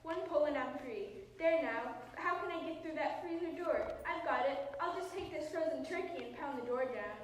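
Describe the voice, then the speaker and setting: A woman's voice: high voice, normal speed, hardly any pauses, natural voice. A woman playing a plucky, earnest young superhero in an amateur comic radio drama. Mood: determined. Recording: echoey hall, distant mic, faint noise.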